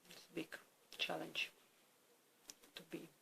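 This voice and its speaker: Gravelly, halting woman with a Ukrainian accent. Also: low voice, monotone, background noise. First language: Russian. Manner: halting, hesitant, slow and searching for words. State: subdued and weary. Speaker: vlogger. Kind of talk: personal video diary.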